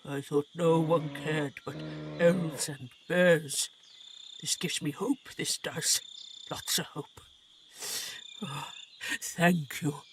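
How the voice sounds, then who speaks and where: A woman voicing a sad, gentle talking bear in a fantasy game, halting and earnest, with noisy insect ambience behind her. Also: low voice, steady tone, a crowd in the background. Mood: tearful, grateful. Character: sorrowful female talking bear, gentle philosophical survivor. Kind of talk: fantasy game character acting.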